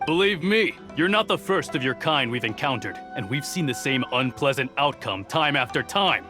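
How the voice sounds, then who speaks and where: Guy doing a smug menacing soldier, mid voice, game music clearly playing. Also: medium pitch, lively intonation, moderate pace, natural voice. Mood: threatening. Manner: threatening, smug. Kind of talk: game voice acting.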